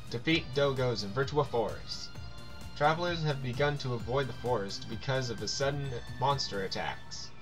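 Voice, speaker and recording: A man's voice: mid-range voice, rough recording. A man doing casual game commentary, reading on-screen text aloud and stumbling over words now and then.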